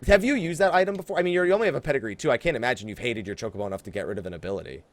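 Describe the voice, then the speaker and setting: A man hosting a casual gaming podcast, chatting in an animated, teasing way with a co-host. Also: mid-range voice, very fast.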